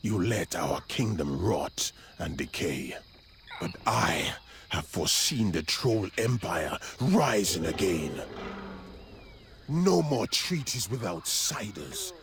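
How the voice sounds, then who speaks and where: An actor playing a scheming, zealous troll prophet in a video game cutscene, with theatrical, grandiose delivery. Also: male voice, low-pitched, very animated, fairly bright tone, a little hiss or hum, heavily acted. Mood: zealous, defiant. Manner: theatrical, grandiose, slow declaiming. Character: scheming male troll prophet, zealous traitor to his king.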